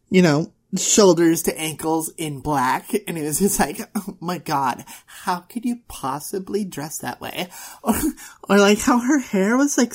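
A woman's voice: fairly low pitch, darkish tone, husky. A young woman playing a vain, catty, ditzy blonde popular girl in an audio roleplay, with a drawling valley-girl manner full of filler words. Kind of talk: first-person audio roleplay monologue.

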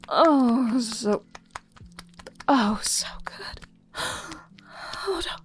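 A woman voicing a flirty, teasing adult server in an intimate audio roleplay, talking in a breathy whisper full of endearments. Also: fairly high pitch, animated, extremely slow, a little hiss or hum. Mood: languid.

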